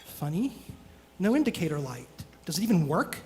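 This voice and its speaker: Man acting a hesitant young spaceman, lively, echoing hall. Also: a little high, normal speed. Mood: awkward, curious. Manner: hesitant, stammering. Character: young male space traveler named Kevin, nervous, apologetic, resourceful. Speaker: radio theatre actor. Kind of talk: live stage radio drama acting. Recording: noisy live hall with long reverb.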